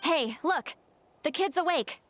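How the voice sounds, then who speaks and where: An actress playing a brisk, forthright young woman in a video game. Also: high-pitched, expressive, a bit bright. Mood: excited.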